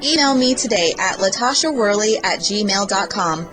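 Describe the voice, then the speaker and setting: A woman's voice: medium pitch, lively intonation, moderate pace. A woman reading a scripted promotional advertisement. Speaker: advertiser, graphic designer. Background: music.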